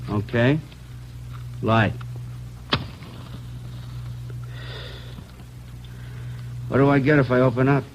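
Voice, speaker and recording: A man's voice: medium pitch, steady tone, normal speed, close to an everyday voice. A man acting a surly, defiant gunman in a 1940s radio crime drama, with an American accent and a sullen, evasive delivery. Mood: cagey, bargaining. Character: surly, defiant young punk gunman in custody. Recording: noisy, dull vintage radio recording.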